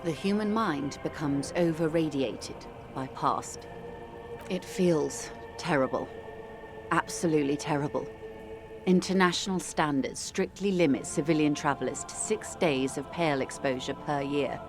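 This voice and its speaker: An actress playing a composed, wealthy middle-aged corporate negotiator in a video game, speaking in a measured, articulate, world-weary way. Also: female voice, deep voice, over music. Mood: grave, explanatory. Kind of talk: video game character dialogue.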